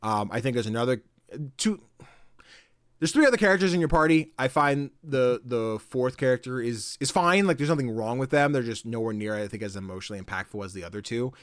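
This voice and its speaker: A young man chatting on a gaming podcast, voice at an ordinary middle pitch. He gets worked up, curses freely, and jumps into higher voices to act out lines from games. The sound is dry and close, with no room around it. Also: fairly quick, dry recording. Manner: excited, swears a lot, acts out game dialogue in raised voices. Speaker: podcast co-host. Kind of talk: casual podcast chat.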